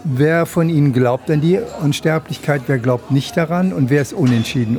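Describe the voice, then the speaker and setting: A man's voice: a little high, a little mellow. A man, interviewing a guest for radio with long, elaborate questions. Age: 60s.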